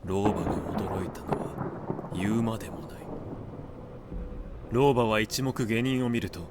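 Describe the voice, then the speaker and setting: A man narrating classic literary fiction from a script, in measured, deliberate dramatic phrasing. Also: lowish voice, very noisy.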